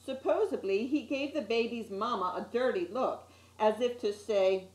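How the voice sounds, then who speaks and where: A woman reading a children's picture book aloud as an expressive storyteller, with little asides to her listeners. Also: a little high, moderate pace. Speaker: story time reader. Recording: echoey room.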